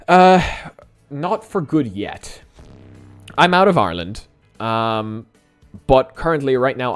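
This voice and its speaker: A man's voice: on the low side, smooth. A man in his twenties, born in Poland and native in Polish, chatting candidly on a live stream and answering viewers with easy laughs. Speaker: YouTuber.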